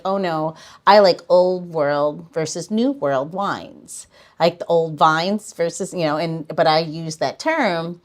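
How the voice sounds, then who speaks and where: Woman, podcast co-host, lowish voice, rambling, self-correcting, casual. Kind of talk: casual chat.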